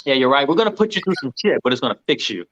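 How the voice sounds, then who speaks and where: A man's voice: medium pitch, very animated, racing, a bit bright. A man chatting casually as a panelist in a movie discussion, swearing now and then and acting out quotes. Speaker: film podcast panelist. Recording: dull lo-fi sound.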